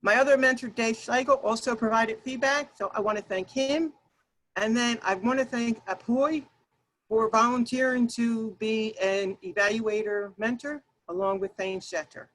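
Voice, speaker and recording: A woman with a loud, projecting voice leads an online workshop, addressing her listeners directly. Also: fairly high pitch, normal speed, low-quality recording. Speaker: workshop leader and Toastmasters speaker. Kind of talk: workshop presentation to an online group. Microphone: video call.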